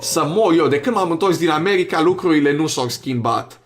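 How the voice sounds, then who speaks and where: A man's voice: fairly high pitch, expressive. A man hosting a gaming video, chatting casually in a crude, profane, rambling way. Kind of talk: casual let's play commentary.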